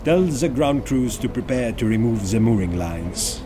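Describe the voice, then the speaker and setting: An actor playing a haughty, taunting commander in a pulp audio drama. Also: male voice, medium pitch, lively intonation, normal speed, background noise, natural voice. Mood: commanding. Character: gloating Nazi villain commander, German man. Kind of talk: pulp radio adventure acting. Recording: loud music and gunfire behind.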